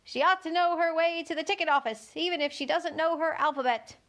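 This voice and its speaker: A woman voicing a loud, disapproving talking goat with a scolding manner in a storybook reading. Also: extremely high pitch, brisk, voice pushed up.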